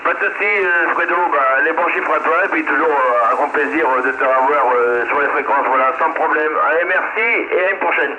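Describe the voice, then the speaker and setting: A man's voice: a little high, a little fast, few pauses, bright, forceful tone. A man from France chatting casually as a CB radio operator, heard over a radio link. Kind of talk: casual amateur radio chat. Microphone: radio transmission played through a speaker. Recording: muffled noisy CB radio link, echoey.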